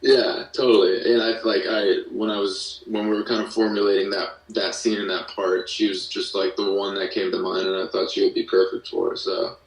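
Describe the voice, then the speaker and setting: A man's voice: sits low, level tone, normal speed, gravelly, hardly any pauses. A man chatting casually as an interview guest, explaining things in an informal, thoughtful way. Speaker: podcast guest, musician and audio drama creator. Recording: echoey room, distant from mic.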